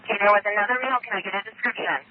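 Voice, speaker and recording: Woman dispatcher on police radio: brisk, procedural, rapid delivery, through a thin, noisy scanner line. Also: mid-range voice, phone-quality sound. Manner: clipped, procedural. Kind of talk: radio dispatch, repeating unit calls.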